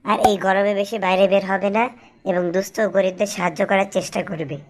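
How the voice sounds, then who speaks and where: A woman's voice: mid-range voice, fairly even intonation, quick pace, darkish tone, lightly acted. A woman playing an earnest schoolteacher in a cartoon, explaining and advising children. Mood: instructive. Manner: instructive, explanatory. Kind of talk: didactic cartoon dialogue.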